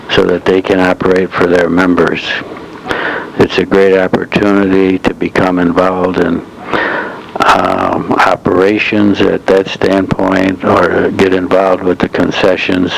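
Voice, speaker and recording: An elderly man recounts local club history in measured, halting phrases, as in a slow oral history. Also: sits low, gravelly. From United States, upstate New York. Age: 70s or older. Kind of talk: slow oral history reminiscence, partly as if from notes. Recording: noisy old reel-to-reel tape transfer.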